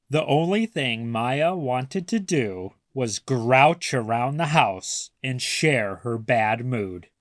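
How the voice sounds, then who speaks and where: Very slow, expressive children's storybook reader. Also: medium pitch, no echo, clean studio sound. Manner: deliberate, emphasizes words for young listeners. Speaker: children's read-aloud narrator. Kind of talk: expressive picture-book storytelling.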